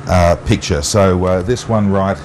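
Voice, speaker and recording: An Australian man presenting an unscripted amateur TV walk-through, halting often with ums and uhs. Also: deep voice, fairly even intonation, a little mellow. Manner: halting, with long um and uh pauses. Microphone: wireless mic pack. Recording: noisy amateur TV transmission audio.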